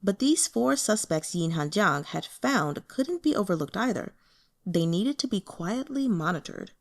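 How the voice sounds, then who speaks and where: A woman reading a translated fantasy web novel aloud in a measured, expressive storytelling manner. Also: medium pitch, creaky. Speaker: audiobook reader.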